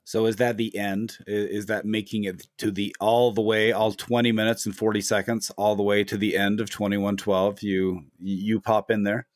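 Low-voiced male interviewer, dry close sound. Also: flat delivery, moderate pace, few pauses, no room sound. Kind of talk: casual interview questions.

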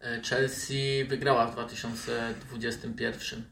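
A man's voice: medium pitch, little pitch movement, a little slow, vocal fry, crowd noise. A man hosting a football discussion podcast, steering the talk with hesitant questions and asides. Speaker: podcast host. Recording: roomy, distant microphone.

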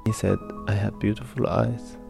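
A young man from Egypt narrating a personal story in a quiet, reflective, plain way. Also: lowish voice, steady tone, moderate pace, creaky, over music.

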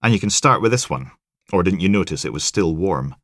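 A man's voice: deep voice, expressive, brisk, a bit bright, no room sound, studio quality. Man playing a curt, commanding police inspector. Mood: stern. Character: gruff, curt male police detective inspector.

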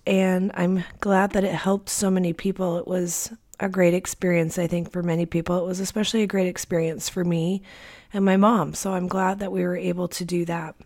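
A woman's voice: lowish voice, a little mellow. A woman hosting a solo podcast in a casual, personal, confiding way, her voice congested from a cold. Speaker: podcast host.

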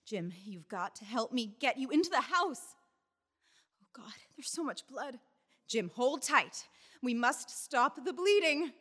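A woman's voice: high-pitched, lively intonation, unhurried, sharp tone, exaggerated voice. An actress playing a desperate young wife who is nursing her shot husband, speaking in an urgent, coaxing way and praying under her breath.

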